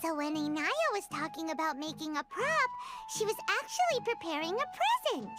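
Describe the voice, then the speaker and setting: A woman voicing a tiny, childlike, chatty fairy companion in a video game, with squeaky, puzzled chatter. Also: sing-song, moderate pace. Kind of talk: cartoonish video game dialogue. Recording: loud music behind the voice.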